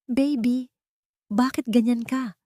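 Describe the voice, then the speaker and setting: A woman's voice: a little high, fairly even intonation, slow pace, dark tone, no echo, studio quality, pitched above the performer's own voice. A woman voicing a manipulative young villainess in a story, sugary and reproachful. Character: sweet-talking, manipulative pregnant young villainess. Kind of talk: storybook drama.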